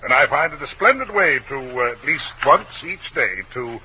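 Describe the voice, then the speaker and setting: An actor playing a pompous, moralizing newspaper boss in a 1940s radio drama, curt and declamatory. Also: male voice, mid-range voice, animated, moderate pace, lightly acted. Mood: self-important. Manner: curt, self-important, declamatory. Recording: tinny, noisy old radio recording.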